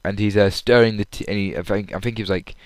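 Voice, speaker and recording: A man with a fairly low, clean voice chats casually to himself on a personal audio log. He rambles through his story in an informal way, and the recording is close and dry with little room sound. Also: steady tone, quick pace, no room sound. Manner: rambling, acts out quoted lines loudly. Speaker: audience member recapping a comedy show.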